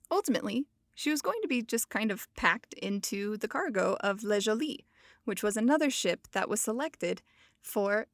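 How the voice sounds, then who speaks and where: A woman's voice: mid-range voice, no room sound. Playful woman podcast host, dry sound. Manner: playful, jokey, self-mocking. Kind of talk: casual educational podcast talk.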